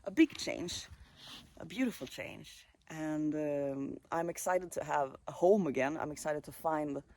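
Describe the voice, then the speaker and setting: Brief: late-twenties man from Sweden, travel vlogger, slightly higher voice, relaxed and musing, filming on his phone outdoors. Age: late 20s. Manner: relaxed, musing, with fillers and pauses. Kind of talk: casual vlog monologue. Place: countryside caravan site.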